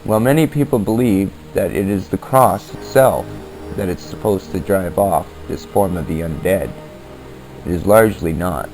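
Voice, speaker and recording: A man hosting a podcast, reading scripted narration in an earnest, deliberate manner over a basic amateur recording. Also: low-pitched, darkish tone, low-quality recording. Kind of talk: scripted narration on the paranormal in old-time radio format. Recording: basic amateur equipment, music underneath.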